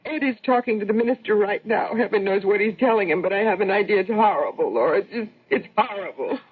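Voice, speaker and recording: A woman playing a fretful housewife and mother barely holding herself together in a radio drama, anxious, halting and pleading. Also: mid-range voice, breathy edge, lightly acted. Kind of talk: old-time radio drama acting. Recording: tinny narrow-band old radio recording.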